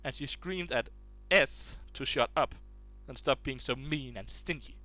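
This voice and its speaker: Casual male reader of online stories, mid pitch, stumbles over words now and then. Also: unhurried, sharp tone. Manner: restarts and stumbles while reading aloud.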